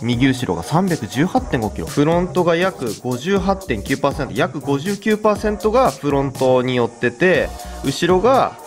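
A man's voice: fairly high pitch, big pitch swings, normal speed, hardly any pauses. A man in his thirties, a car channel host, explaining things casually and hands-on to camera in a garage. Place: garage workshop, indoors. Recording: music behind the voice. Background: background music.

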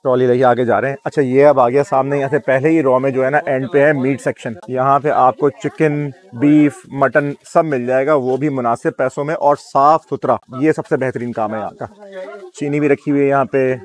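A man's voice: mid-range voice, fairly even intonation, normal speed. A man in his thirties with a Pakistani accent, chatting casually as he hosts a shopping vlog, often repeating his phrases. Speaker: vlog host. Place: busy supermarket and its car park.

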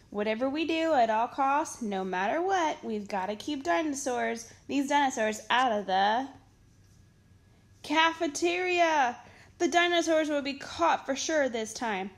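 High-pitched woman reading a children's story slowly. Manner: expressive, dramatic storytelling for children. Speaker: storytime reader. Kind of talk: children's picture book read-aloud.

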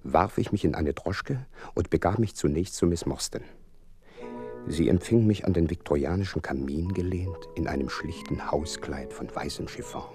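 An actor playing an earnest gentleman doctor who recounts a case in florid, wry storytelling in an audio drama. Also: sits low, moderate pace, over music, natural voice. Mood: wry narrating. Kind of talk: dramatised first-person narration, German audio drama.

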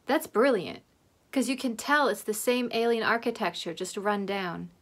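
A woman's voice: medium pitch, normal speed. A woman in her thirties reacting casually to a show, commenting as she watches. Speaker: host reacting to a TV episode. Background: soundtrack of the episode.

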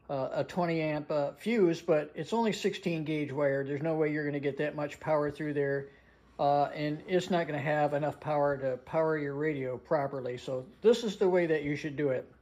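A woman's voice: fairly low pitch, darkish tone. An older woman giving a casual hands-on tutorial in a plain-spoken, practical way. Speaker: hobbyist demonstrator. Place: indoors at a wooden workbench.

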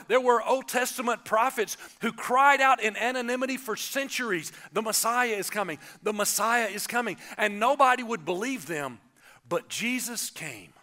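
A man, preaching an animated Easter sermon from a church stage and calling on the congregation to answer. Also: high-pitched. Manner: animated, gestures broadly, calls on the congregation. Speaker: pastor. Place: church stage, large indoor auditorium.

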